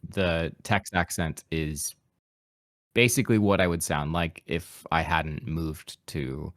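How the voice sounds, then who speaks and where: A man's voice: a bass voice, steady tone, slow pace, no room sound, clean studio sound. A man with a mid-Atlantic American accent, a podcast guest and voice actor from Appalachia, talking casually and reflectively in an interview, with easy self-deprecating laughs. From Appalachian region of the United States.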